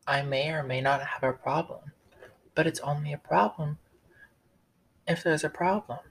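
A man's voice: fairly high pitch, normal speed, vocal fry, a bit bright, reverberant. A young man co-hosting a podcast in casual, joking chat. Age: young adult. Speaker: podcast co-host.

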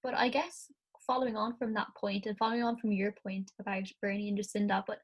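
A young Irish woman, a student, talking through ideas in a casual class discussion, her voice a little croaky from the morning. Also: mid-range voice, darkish tone. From Ireland. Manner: chatty, thinks aloud, frequent "like".

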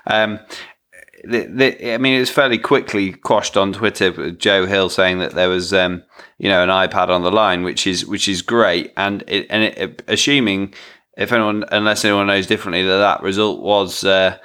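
Low-voiced man hosting, full of restarts. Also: steady tone, normal speed, pushed and bright. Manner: rambling, hesitant with many restarts. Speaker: podcast host. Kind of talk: casual chat.

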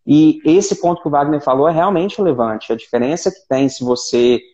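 A man's voice: a little high, fairly quick, mellow. Hesitant Brazilian man, dull sound. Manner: hesitant, repeats words often. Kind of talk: casual panel chat.

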